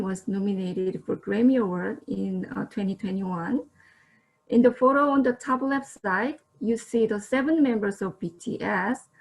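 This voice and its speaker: A woman's voice: mid-range voice, gravelly, low-quality recording. A young woman from South Korea giving a slideshow lecture, speaking carefully and steadily with some fillers. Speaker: student presenter.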